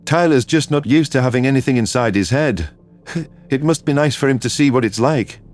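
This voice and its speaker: A man playing a gentle, reassuring human friend in a sci-fi audio drama. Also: mid-range voice, normal speed, close to an everyday voice. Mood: easygoing teasing. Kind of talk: audio drama character acting. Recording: music under the voice.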